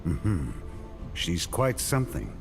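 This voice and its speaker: A stern game warrior with a low, gravel-edged voice. He is terse and grim, brooding and guarded, and his pitch moves widely as he speaks over prominent music. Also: huge pitch swings, slow, heavily acted. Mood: reserved. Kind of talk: video game cutscene acting.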